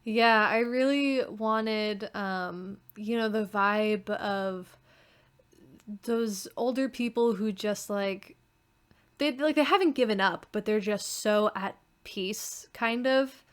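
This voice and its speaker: Unhurried woman in casual chat. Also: mid-range voice, clean tone. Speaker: writer on a podcast talkback.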